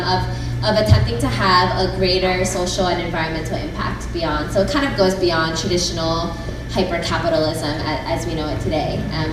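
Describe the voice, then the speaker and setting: A woman giving a casual talk to a small group, chatty and informal, with a lot of fillers. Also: mid-range voice. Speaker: guest speaker. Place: room with a small audience. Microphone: room mic, no handheld mic. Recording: echoey room from far off, background noise.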